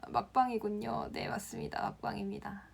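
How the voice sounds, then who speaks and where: A woman's voice: on the high side, fairly even intonation, brisk, vocal fry, breathy edge. A young woman from the Gyeongsang region teaching Instagram, answering viewer comments on a casual live stream and laughing often. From Gyeongsang province, Korea.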